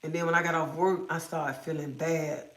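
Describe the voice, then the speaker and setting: A woman's voice: low voice, flat delivery, normal speed, fairly bright tone. A woman hosting a casual livestream from home, feeling sick as if coming down with a cold, rambling and repeating herself. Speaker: livestream host. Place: at home, indoors. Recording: echoey room.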